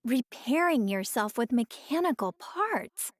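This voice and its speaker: A woman's voice: a little high, expressive, measured pace, no room sound, studio quality. A woman voicing an easygoing, curious, upbeat young wife in an English anime game, casual and inquisitive.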